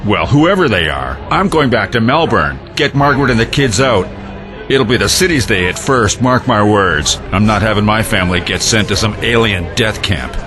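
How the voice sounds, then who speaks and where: Alarmed, hot-tempered barfly male actor, lower register, curt audio-drama delivery over loud music. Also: expressive, normal speed, lightly acted. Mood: panicked, stubborn. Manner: curt, belligerent. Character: angry, panicking bar customer, family man. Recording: loud music bed behind the voice.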